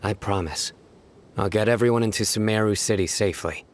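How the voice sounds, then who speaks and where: An actor playing a stern, authoritative general in a video game, speaking in curt, measured phrases. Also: male voice, lowish voice, close to an everyday voice. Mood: calm, serious. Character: stern, commanding young male law-enforcement general.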